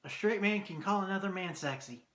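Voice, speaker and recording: A man reviewing a TV episode in a casual vlog, excitable and swearing often, his voice going hoarse. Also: high-pitched, a little fast, a little hiss or hum. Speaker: TV review vlogger.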